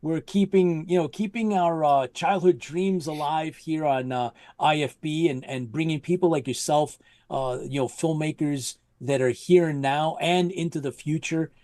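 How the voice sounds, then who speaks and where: A man's voice: fairly high pitch, moderate pace, a little mellow, no echo. A man hosting a casual live web show, talking in a loose, rambling way with many fillers and restarts. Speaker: show host. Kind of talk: casual livestream chat.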